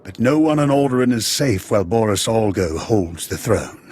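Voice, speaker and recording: An actor playing a pragmatic, principled military officer in a video game, measured and earnest. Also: male voice, on the low side, expressive, a little mellow, breathy edge. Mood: earnest, businesslike.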